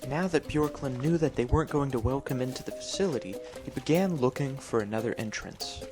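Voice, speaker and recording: A man improvising a mock-storybook narration over gameplay in a mock-serious, deadpan way. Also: medium pitch, brisk. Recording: music behind the voice. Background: clear background music.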